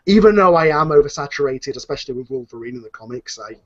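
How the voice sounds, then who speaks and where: A man's voice: fairly high pitch, lively intonation, fast talker. A man who writes an audio drama, talking enthusiastically in a casual panel chat, rambling with many fillers and restarts. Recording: muffled lo-fi recording.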